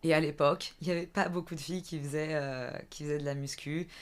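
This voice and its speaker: A woman in her thirties from the Vendée in France, a fitness coach chatting as a podcast guest, often pausing with filler sounds. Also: deep voice, steady tone, smooth. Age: 30s. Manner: hesitant, many filler sounds and restarts. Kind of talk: casual interview chat.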